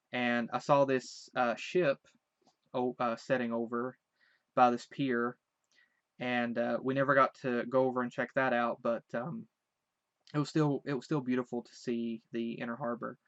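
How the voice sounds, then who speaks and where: Man, light Southern accent, mid pitch, rambling and hesitant recollection, dry dull lo-fi recording. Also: fairly bright tone, no echo. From Virginia. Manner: rambling, hesitant, many restarts. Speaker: vlogger. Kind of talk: casual recollection.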